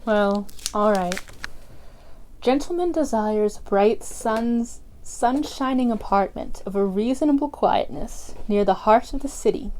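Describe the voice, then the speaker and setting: An actress playing a blunt, sarcastic female rental clerk with a deadpan manner, in an old radio drama style. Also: a little high, close to an everyday voice, low-quality recording. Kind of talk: 1940s radio drama acting.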